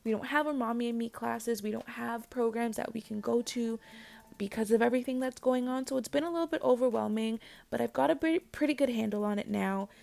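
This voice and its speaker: A woman narrating a hair tutorial voice-over, chatty and talking straight to her viewers, with a raspy voice. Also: on the high side, fairly quick, a little mellow. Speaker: parent vlogger. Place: home.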